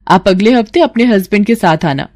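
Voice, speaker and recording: A woman's voice: medium pitch, lively intonation, darkish tone, natural voice. A woman storyteller voicing a female family doctor who advises patients in a reassuring, explanatory way. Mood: reassuring, firm. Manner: advising in measured, explanatory sentences.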